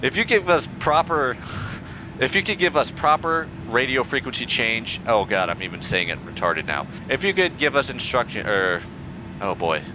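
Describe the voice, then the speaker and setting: A young man playing a pilot in a flight game, using formal radio phrasing in a deadpan, increasingly exasperated way over a headset. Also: medium pitch, moderate pace, background noise. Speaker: pilot player in a flight sim. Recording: game audio in background.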